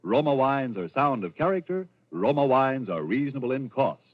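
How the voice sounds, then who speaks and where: A man's voice: medium pitch, sing-song, moderate pace. A male commercial announcer reading a 1940s radio sponsor advertisement with a polished, emphatic sales delivery. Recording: dull vintage radio broadcast recording.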